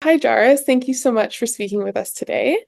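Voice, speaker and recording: A woman's voice: on the high side, brisk, creaky, a little mellow, no echo, studio quality. A young woman, hosting a prepared podcast interview as a student interviewer. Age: young adult.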